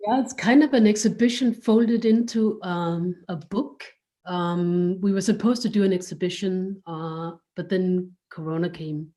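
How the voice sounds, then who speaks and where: Slow, low-voiced, mellow woman thinking aloud. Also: fairly even intonation. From Denmark. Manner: hesitant, thinking aloud with many pauses. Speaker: interview guest, art space director. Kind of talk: casual spoken interview answers.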